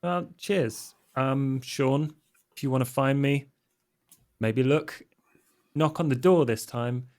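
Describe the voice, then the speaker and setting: A man's voice: mid-range voice, takes it slowly, long pauses, darkish tone. A man playing in a tabletop game, speaking casually in character in a laconic, understated way.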